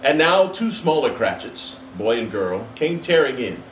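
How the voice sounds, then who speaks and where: Man reading a story out loud, really animated narration, echoey room, little bit of background hiss. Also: mid-range voice, unhurried. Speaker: story reader. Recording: roomy, distant mic, faint hiss.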